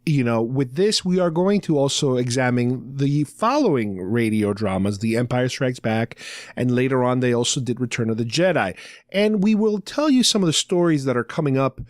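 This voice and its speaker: A man's voice: mid-range voice, animated, moderate pace, no room sound, studio quality. A middle-aged man hosting a podcast, talking casually and explaining things like an enthusiastic fan. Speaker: podcast host.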